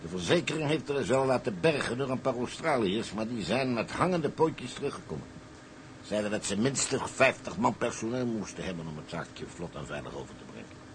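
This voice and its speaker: An actor playing a boastful, wily older tugboat skipper with a salty, colloquial way of telling things. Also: male voice, fairly low pitch, lively intonation, a little fast, a little hiss or hum, poor audio. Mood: scheming, insistent. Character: wily, boastful old colonial tugboat skipper, a persuasive schemer. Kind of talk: dramatised dialogue, storytelling in character.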